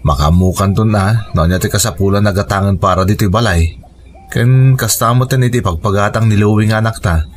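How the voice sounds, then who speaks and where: Actor as low-voiced tired Filipino husband. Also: male voice, fairly quick, a little hiss or hum, close to an everyday voice. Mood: mildly fretful. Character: tired working husband and father, plain-spoken, mildly fretful. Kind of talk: naturalistic radio drama acting. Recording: music bed behind radio drama dialogue.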